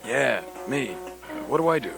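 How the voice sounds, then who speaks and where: A man's voice: mid-range voice, animated, unhurried, gravelly, a bit bright, faint music behind. A man playing a dim, agreeable surfer type of agent sidekick in a satirical radio play.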